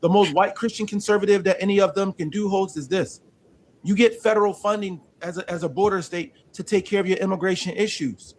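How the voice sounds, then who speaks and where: A man's voice: high voice, steady tone. A man from Pennsylvania debating on a live panel, arguing point by point and insisting on finishing his thought. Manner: measured, asks to finish, argues point by point. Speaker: panel guest.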